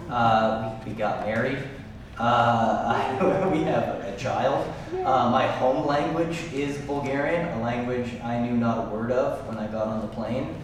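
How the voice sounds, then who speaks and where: A middle-aged man speaking as a panelist, reflective and halting with many fillers. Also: mid-range voice. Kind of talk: panel talk to a live audience. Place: large room at a live event. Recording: echoey hall, speaking from far off, noisy.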